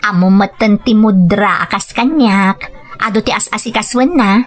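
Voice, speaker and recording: A woman playing a gentle, dutiful young wife in an audio drama, polite and earnest. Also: medium pitch, normal speed, faint music behind, lightly acted. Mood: polite, modest. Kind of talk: Ilocano audio drama acting.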